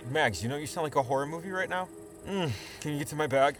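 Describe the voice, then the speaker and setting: A man's voice: on the high side, fast, slight background noise, natural voice. An actor playing an anxious, sarcastic man on a hike gone wrong in an audio drama, sweary, stammering and exasperated. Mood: wry, bracing. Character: anxious, sarcastic male hiker in peril. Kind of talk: full-cast audio drama acting.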